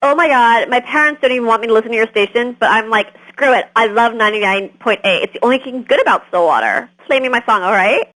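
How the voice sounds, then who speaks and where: A gushing teenage girl caller played by a woman spills out her fan chatter in a rush. Her voice sits fairly high. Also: fast talker, hardly any pauses, fairly bright tone, close to an everyday voice, poor audio. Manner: gushing, breathless fan talk. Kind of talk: scripted game voice lines.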